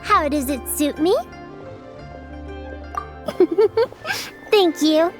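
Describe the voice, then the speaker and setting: A woman's voice: sits high, lively intonation, drawn-out words, soft background music, exaggerated voice. A woman voicing a sweet, helpful little girl in a video game, chirpy, kindly and polite. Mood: bright, friendly.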